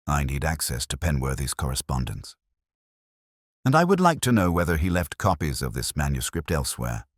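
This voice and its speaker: A man voicing a shrewd, commanding Victorian detective with measured, formal speech in an audiobook story. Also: extremely low pitch, sing-song, dry recording, clean studio sound, heavily acted. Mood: firm, resolute. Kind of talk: audiobook character dialogue.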